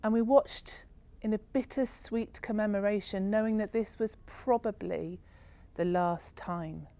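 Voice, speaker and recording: A woman storyteller giving a solemn, reflective spoken-word narration in a slow, ceremonial manner. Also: mid-range voice, mellow.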